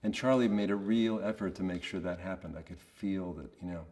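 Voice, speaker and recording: A man giving a casual, reflective interview in a monotonous voice, hesitant and understated as he searches for words. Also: low voice, brisk, long reverb tail. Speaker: voice actor being interviewed.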